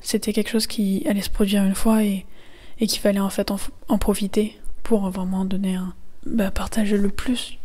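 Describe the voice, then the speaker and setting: A woman narrating a travel vlog in a soft, thoughtful, half-whispered voice-over. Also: mid-range voice, flat delivery, normal speed.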